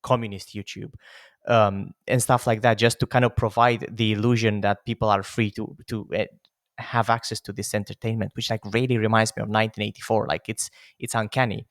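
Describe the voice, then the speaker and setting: A man's voice: fairly low pitch, level tone, fairly quick, no room sound, studio quality. A man in his thirties from Romania, a podcast host talking casually with many fillers. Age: 30s. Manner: chatty, lots of "like" and "you know" fillers. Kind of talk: casual conversation.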